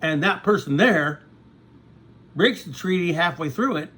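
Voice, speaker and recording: Man, sixties, high-ish animated voice, meandering vlog monologue with frequent asides to viewers. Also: a little slow, breathy edge. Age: 60s. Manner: conversational, repeats "okay?" to check in with viewers. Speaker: vlogger. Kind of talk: rambling casual monologue.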